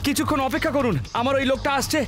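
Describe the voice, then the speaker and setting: Very high, quick-talking cartoon crewman. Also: steady tone. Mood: obliging. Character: obliging male film crew assistant. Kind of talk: comedy cartoon voice acting. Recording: loud music behind the voice.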